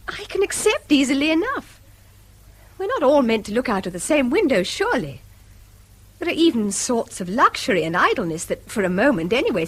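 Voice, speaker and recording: An actress playing a restrained, wistful middle-aged English wife in a radio drama, with a breathy, husky edge. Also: female voice, on the high side.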